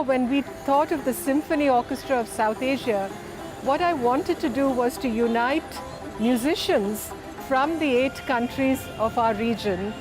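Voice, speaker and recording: A woman's voice: on the high side, rough recording. Reflective woman over orchestral music. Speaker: founder of the orchestra foundation. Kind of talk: documentary interview.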